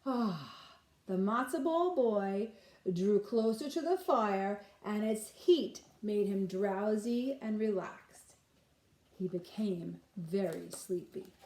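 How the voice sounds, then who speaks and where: A woman reading a children's storybook aloud like a nursery teacher, pausing to put simple questions to listeners. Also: mid-range voice, animated, warm tone, echoey room.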